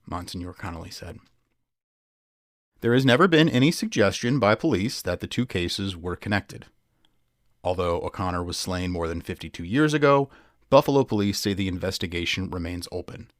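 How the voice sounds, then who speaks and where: Low-voiced man narrating true crime. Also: no room sound, studio quality. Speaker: podcast narrator. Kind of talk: scripted true-crime narration, dramatic article reading.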